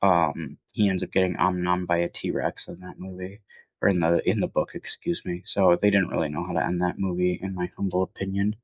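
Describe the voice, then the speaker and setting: Male film reviewer, deep voice, stuffed-up and sniffly, meandering casual delivery, dry room. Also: flat delivery, fairly quick, no echo, lo-fi sound. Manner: rambling, many fillers and restarts. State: sniffly from a cold. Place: at home.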